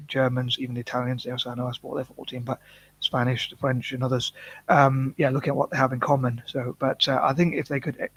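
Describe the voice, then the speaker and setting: Hesitant monotone man, husky voice. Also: mid-range voice, fast. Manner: hesitant, many restarts and fillers, self-conscious. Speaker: vlogger. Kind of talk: rambling casual monologue.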